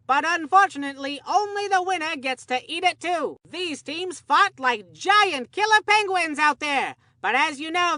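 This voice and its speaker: Chipper, goofy kid baseball announcer for a cartoon game; high voice, folksy mock-serious earnestness, close dry sound. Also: female voice, pushed and bright, dry recording, full character voice. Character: excitable, naive boy baseball commentator, chipper and goofy. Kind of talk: cartoon sports game commentary.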